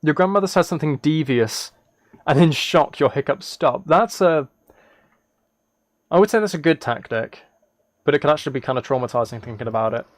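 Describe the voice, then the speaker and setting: A man's voice: on the high side, lively intonation, fairly quick, long pauses, no echo, studio quality. A man chatting casually on a livestream as he plays a game, rambling and thinking aloud. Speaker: live streamer. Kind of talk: casual livestream chat while gaming, reading chat.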